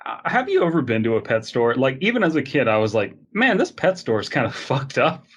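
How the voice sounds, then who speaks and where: Male podcast co-host, animated and jokey, loose conversational banter. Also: mid-range voice, fairly quick, breathy edge. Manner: joking, riffing with mock quotes. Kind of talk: casual comedic banter.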